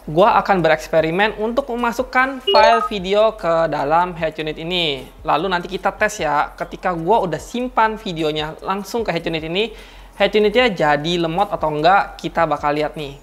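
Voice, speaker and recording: A woman's voice: lowish voice, moderate pace. A woman giving a chatty, slangy product review, talking straight to her viewers. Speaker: car audio reviewer.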